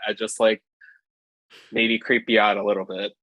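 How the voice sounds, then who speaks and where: A man's voice: fairly low pitch, level tone, moderate pace, pushed and bright, no room sound, poor audio. Chatty, rambling man from Hawaii. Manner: chatty, rambling, full of fillers. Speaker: podcast guest, street photographer. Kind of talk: casual podcast chat.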